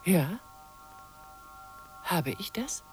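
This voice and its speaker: An actress playing a yearning, flirtatious portrait sitter in a radio play, intimate and expressive. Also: female voice, fairly low pitch, very slow, soft background music, heavily acted, low-quality recording. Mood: yearning, coaxing. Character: yearning, flirtatious female portrait sitter, awed by her painter. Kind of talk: German radio play acting.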